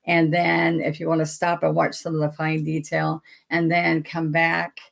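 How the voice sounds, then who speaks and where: A woman's voice: sits low, monotone, measured pace. An older woman, chatting folksily as she teaches a painting lesson and greets viewers by name. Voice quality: raspy. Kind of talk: casual livestream painting lesson.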